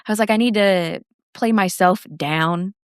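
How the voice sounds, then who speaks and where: A teenage girl, co-hosting a podcast in casual, chatty and loud conversation. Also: medium pitch, a little slow, clear, resonant tone, no echo, studio quality. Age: teens. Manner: chatty and loud, laughs between stories. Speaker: podcast co-host. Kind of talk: casual chat.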